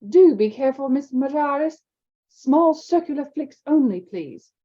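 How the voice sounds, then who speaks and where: A woman voicing a stern, brisk older headmistress who gives slow, precise commands. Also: sits high, lively intonation, mellow, muffled recording, pitched above the performer's own voice.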